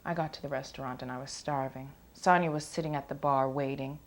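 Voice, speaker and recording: A woman's voice: a bass voice, fairly even intonation, brisk. A woman playing a candid, self-assured girlfriend, speaking frankly in a dramatised documentary interview. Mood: matter-of-fact.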